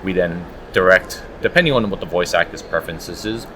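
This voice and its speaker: Interview guest, male, low voice, conversational, some background room noise. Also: fairly quick, sharp tone. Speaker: audiobook producer, interview guest.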